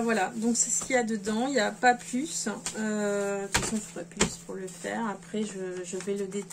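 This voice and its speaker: A woman chatting casually to camera about her sewing, rambling and hesitant, with many fillers and restarts. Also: mid-range voice. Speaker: sewing vlogger. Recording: noisy home recording.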